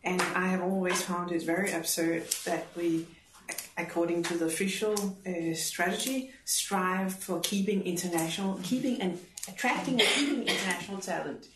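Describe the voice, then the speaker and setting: A woman's voice: lowish voice, steady tone, normal speed. A middle-aged woman who works at a university, commenting in a seminar discussion. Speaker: university staff member in discussion. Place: classroom, indoors. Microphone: distant room microphone. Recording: distant, echoey room with clear noise.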